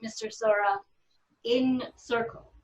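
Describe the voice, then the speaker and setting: A woman reading the part of a composed lawyer in her fifties, formal and measured, for a TV drama table read. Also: fairly high pitch, steady tone, reverberant, poor audio. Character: Madeline, composed 55-year-old female small-town lawyer.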